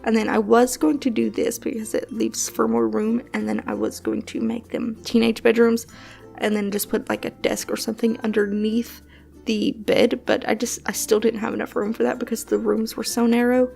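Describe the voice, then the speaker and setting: Young female vlogger, sniffly, rambling chatty voice-over, fry-heavy, soft music bed. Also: fairly high pitch, level tone, moderate pace. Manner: rambling, chatty, lots of fillers and soft laughs. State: sniffly from allergies. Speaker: gaming channel host. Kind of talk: casual voice-over commentary on a building game.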